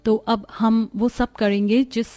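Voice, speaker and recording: An obedient young bride in a cartoon family story, played by a woman. Her voice is on the high side. She talks earnestly and respectfully, eager to please. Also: level tone, measured pace, dark tone, close to an everyday voice. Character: eager, obedient young daughter-in-law, newly married.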